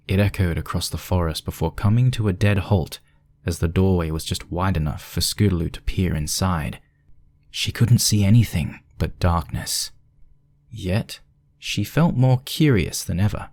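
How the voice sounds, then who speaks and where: A man's voice: sits low, darkish tone. A man reading scripted narration for a spooky fan fiction story.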